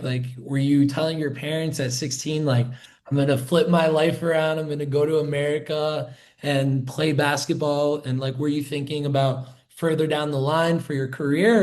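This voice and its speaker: A man's voice: a little high, darkish tone. A man hosting a podcast, asking questions in an upbeat, conversational way. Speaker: podcast host. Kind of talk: casual podcast interview.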